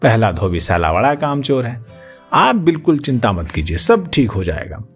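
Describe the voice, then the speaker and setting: Pushy, animated rich businessman acted by a man. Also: medium pitch, normal speed, slightly rough, soft background music. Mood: jovial, insistent. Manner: insistent, interrupting, wheedling. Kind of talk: audiobook character acting.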